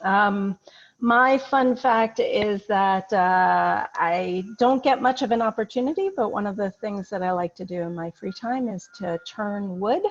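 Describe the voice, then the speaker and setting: A woman medical oncologist with a hoarse voice from a cold, introducing herself in a chatty, enthusiastic way. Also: mid-range voice, unhurried. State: hoarse, under the weather. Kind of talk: self-introduction on video call. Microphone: video call. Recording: dull lo-fi video call audio.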